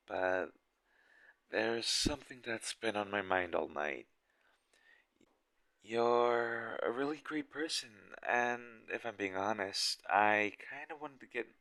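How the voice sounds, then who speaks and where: A man's voice: fairly low pitch, sharp tone, no echo. A man playing a shy, smitten game developer on a date in a romantic audio roleplay, speaking nervously and hesitantly straight to the listener. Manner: awkward, nervous, hesitant and stammering.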